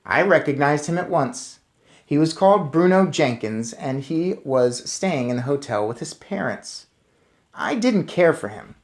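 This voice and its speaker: A man's voice: fairly high pitch, lively intonation, measured pace. A man reading a story aloud and commenting on it as narrator. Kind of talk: read-aloud narration with casual recap.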